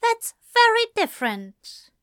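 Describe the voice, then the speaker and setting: A woman's voice: very high-pitched, sing-song, slow pace, fairly bright tone, no room sound, studio quality, voice pushed up, full character voice. A woman voicing a prim, snippy male angel who is easily flustered and scandalised, in a fan fiction story reading. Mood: flustered, aghast. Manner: prissy, snippy, evasive.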